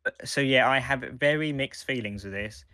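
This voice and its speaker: A man co-hosting a casual fan podcast, talking in a halting, hesitant way as he reviews. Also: medium pitch, bright, forceful tone, clean tone, dry recording. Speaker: podcast co-host.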